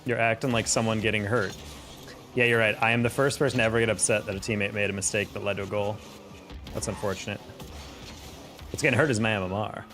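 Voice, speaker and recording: A man's voice: fairly low pitch, flat delivery, quick pace, long pauses, a bit bright, faint music behind. A man chatting casually with his viewers while playing a game on a live stream, exasperated and laughing often. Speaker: streamer and caster.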